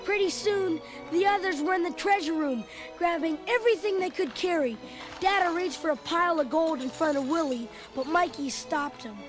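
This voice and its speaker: A performer playing an excitable young boy who tells his adventure as animated, dramatic read-along storybook narration. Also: extremely high pitch, moderate pace. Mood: excited storytelling. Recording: loud music under the voice. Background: loud dramatic music.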